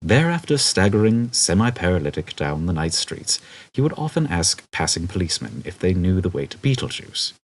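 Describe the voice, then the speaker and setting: A man reads a comic novel as a scripted audiobook. His voice sits low and is rough-edged, and his intonation swings widely as he tells the story. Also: big pitch swings, moderate pace. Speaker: audiobook narrator.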